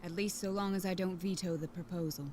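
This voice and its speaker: A woman's voice: lowish voice, steady tone, quick pace, a little mellow, lightly acted. A woman playing a stern, confident female politician in a visual novel, firm and commanding, with faint background noise. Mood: assured.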